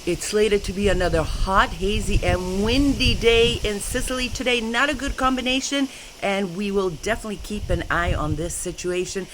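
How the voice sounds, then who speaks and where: A woman's voice: medium pitch, moderate pace, background noise. A woman hosting a travel show, interviewing people in a friendly, upbeat way. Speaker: show host.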